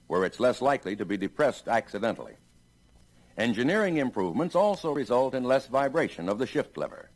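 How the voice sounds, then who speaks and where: A man with an American accent narrates like a 1960s industrial film announcer. His pitch moves in lively swings, and his delivery is measured and emphatic, as if presenting a product to salesmen.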